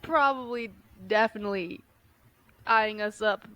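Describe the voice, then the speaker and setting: A woman's voice: medium pitch, a little slow, no echo, lo-fi sound. A congested woman co-hosting a podcast, laid-back and jokey in casual chat. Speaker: podcast co-host. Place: recorded in person, dry close sound.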